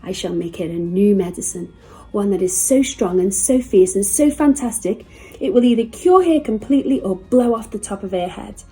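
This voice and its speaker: A woman's voice: medium pitch, close to an everyday voice, low-quality recording. A woman voicing a plucky, scheming eight-year-old boy in a storybook reading. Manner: excited, scheming.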